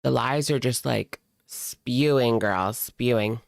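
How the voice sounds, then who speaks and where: A woman streamer reacts casually with snark and plenty of slang. Her voice is clean and smooth, set in a dry, close sound, and she drags out words to make a point. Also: a bass voice, no echo. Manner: snarky, slangy, drawn-out emphasis. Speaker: reaction streamer commenting on a video.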